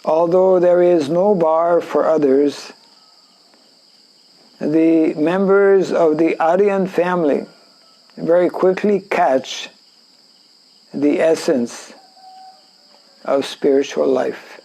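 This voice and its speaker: A French Canadian man, a native French speaker, teaching a scripture class in a gravelly, dark-toned voice that sits a little high. He speaks very slowly, pausing on fillers and clearing his throat again and again as he explains the verse. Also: steady tone, rough recording. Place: indoor class in a rural town.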